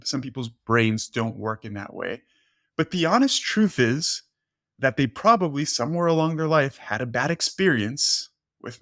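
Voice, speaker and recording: A man's voice: mid-range voice, fairly bright tone, no room sound, clean studio sound. A man whose first language is Spanish, talking casually as a podcast host and often checking in with "right?". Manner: fast, filler-heavy, says "right?" often. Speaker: podcast host, nutrition coach.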